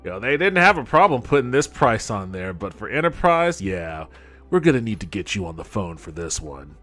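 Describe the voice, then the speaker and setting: A man with a fairly low voice talks off the cuff like a gaming streamer. He is sardonic and skeptical and swears casually. Music plays behind him. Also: very animated, normal speed, a bit bright. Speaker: host of a gaming podcast and stream.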